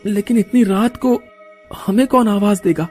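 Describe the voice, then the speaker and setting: A man's voice: high voice, normal speed, darkish tone, slightly rough, soft background music. A man voicing a young male villager who urges and teases a friend in a cartoon. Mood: nervous. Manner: urging, teasing.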